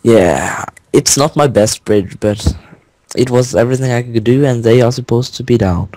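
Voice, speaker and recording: Norwegian guy in casual game chat, halting, searching for words, mellow voice dry close sound. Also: mid-range voice, no room sound, rough recording. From Norway. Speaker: guest builder.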